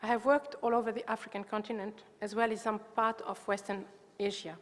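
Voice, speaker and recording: An older woman gives a formal prepared conference speech, earnest and measured, delivered phrase by phrase. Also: medium pitch, flat delivery. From Tunisia. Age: 50s or older. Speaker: water agency coordinator, conference speaker. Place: large indoor conference hall. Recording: reverberant hall, long echo.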